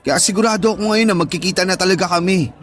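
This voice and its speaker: High-voiced earnest young man in love, acted by a man. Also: fast talker, a bit bright, faint music behind. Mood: confident. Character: earnest lovestruck young man waiting for his online girlfriend. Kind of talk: Tagalog radio drama acting.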